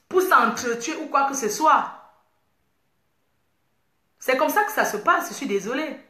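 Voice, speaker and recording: A woman's voice: high voice, sharp tone, roomy sound. A woman, talking passionately and repetitively to her online followers in a casual livestream. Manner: impassioned, repetitive, preachy. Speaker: online community host.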